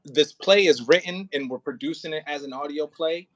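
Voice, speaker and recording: A man's voice: fairly high pitch, moderate pace. A man directing an audio play rehearsal, casually coaching an actor and expressively demonstrating lines. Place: indoors near a window, on a remote call.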